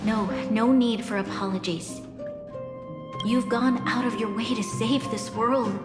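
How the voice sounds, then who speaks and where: Woman voicing resolute young lady ruler, game cutscene, earnest n formal, slightly high voice, music behind. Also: fairly even intonation, natural voice. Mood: grave, earnest. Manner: earnest, measured, stately. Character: solemn, resolute young female city ruler. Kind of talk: formal, dramatic video game cutscene acting.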